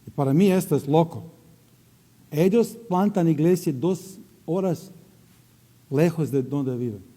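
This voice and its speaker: A middle-aged man with a Croatian accent speaks slowly, in broken Spanish, often stopping to find a word and slipping into English now and then. His voice is mellow and sits a little high for a man. It rings with the long echo of a church hall. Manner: halting non-native Spanish, searching for words, slipping into English. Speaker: missionary. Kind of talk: church greeting and testimony. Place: church sanctuary.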